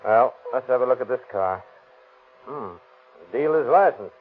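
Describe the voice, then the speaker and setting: A brisk, businesslike police sergeant who keeps every exchange short and to the point. His mid-pitched voice has a husky edge and comes through the narrow, phone-like sound of a 1930s broadcast. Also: expressive. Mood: firm. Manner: firm, clipped, businesslike. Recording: tinny 1930s radio broadcast.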